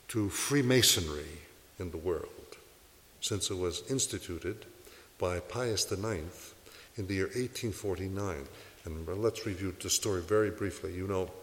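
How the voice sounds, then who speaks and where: Earnest low-voiced man preaching. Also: long reverb tail. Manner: earnest, exhorting, asks rhetorical questions. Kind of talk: sermon to a congregation.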